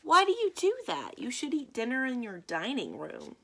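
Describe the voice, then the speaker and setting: Woman doing an incredulous, questioning storybook child, very animated. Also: fairly high pitch, normal speed, slight background noise, pitched above the performer's own voice, exaggerated voice. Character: inquisitive, incredulous child questioning a friend's odd household. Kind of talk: children's picture book read-aloud.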